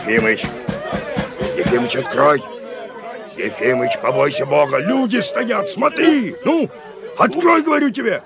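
Gravelly, folksy Cossack captain. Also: male voice, high-pitched, measured pace, pauses often. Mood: urgent calling. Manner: folksy, coaxing and hollering. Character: rough, pleading Cossack captain, earnest and exasperated. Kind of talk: radio drama acting. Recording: crowd and music behind the voice.

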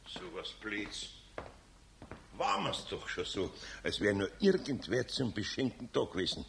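A man playing a kindly, grumbling older Bavarian master carpenter with a husky, gravelly voice in a children's radio play. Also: on the low side, big pitch swings, a little slow, a bit bright, slight background noise, full character voice. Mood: vexed.

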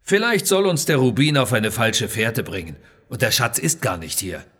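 A man's voice: mid-range voice, lively intonation, moderate pace, fairly bright tone, slightly rough, big-room sound. A man voicing an unflappable male adventurer in an audio story, wry and thoughtful. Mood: musing.

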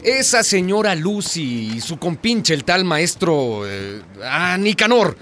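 An actor playing a scheming, smooth company public relations man in a radio drama, insinuating and persuasive. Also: fairly high pitch, very animated, slow, a bit bright, exaggerated voice. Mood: scheming, alarmed.

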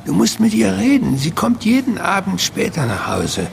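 A spry old Chinese father in a radio drama. His voice sits fairly high with a gravelly fry, and it swoops widely as he talks. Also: wildly swooping pitch, normal speed, slight background noise, heavily acted. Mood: fretful. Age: older. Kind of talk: radio play acting.

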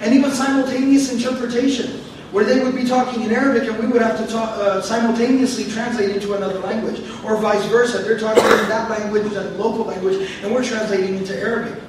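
A man with a very high voice gives a lecture on scripture. He explains each word carefully and repeats phrases for emphasis, often drifting into tangents. He stands some distance from the mic in a very echoey room, with faint background noise. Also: flat delivery, fast talker. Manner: repetitive, explains word by word, digressive. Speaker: Quran teacher.

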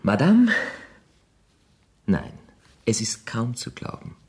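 An actor playing a suave young gentleman in a radio play, paying gallant, flattering compliments. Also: mid-range voice, slow pace, slightly rough, exaggerated voice. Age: young adult. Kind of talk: 1970s radio play acting.

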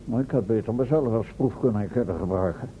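An actor playing a fretful, excitable inventor professor, impatient and formal, in a radio play. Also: male voice, lowish voice, quick pace, close to an everyday voice. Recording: tinny old radio recording, faint hiss.

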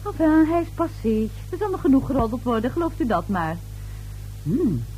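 A woman's voice: high-pitched, big pitch swings, normal speed, dark tone, faint music behind, rough recording. An actress playing a tipsy, gossipy neighbour lady in a radio play, chatty, coy and giggly. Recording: old radio drama recording.

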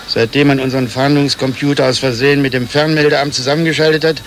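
A male actor as an officious border official in a radio satire. His medium-pitched voice is matter-of-fact and bureaucratic, with clear ambience behind it. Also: steady tone, fast talker, slight background noise, natural voice. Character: officious, nosy passport control officer. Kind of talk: satirical radio comedy acting.

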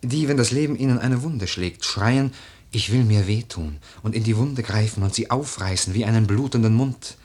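An actor playing a brooding, eloquent, lordly widower in a literary radio play, with lyrical, deliberate, declamatory delivery. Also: male voice, fairly low pitch, darkish tone, breathy edge. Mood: admonishing. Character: brooding, eloquent widower, poetic and lordly, drawn to a young woman.

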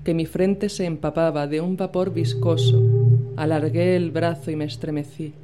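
A woman from Spain reading a literary horror story aloud in a measured, dramatic storytelling manner. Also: low-pitched, steady tone. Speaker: narrator. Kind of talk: scripted literary narration. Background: loud music with a singing bowl.

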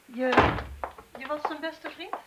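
An actress with a Netherlands Dutch accent playing a weary, irritable police inspector in a naturalistic radio drama, curt and matter-of-fact. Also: female voice, high voice, takes it slowly, background noise. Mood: businesslike. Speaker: actor in a radio play.

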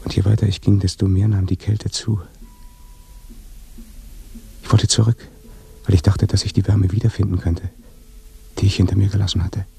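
An actor in a radio drama playing a brooding, fearful dead man confessing from his grave, in with a hushed delivery. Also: low-pitched, little pitch movement, moderate pace, vocal fry, long pauses, breathy edge, exaggerated voice. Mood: haunted, dread. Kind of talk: German radio drama monologue, slow confessional acting.

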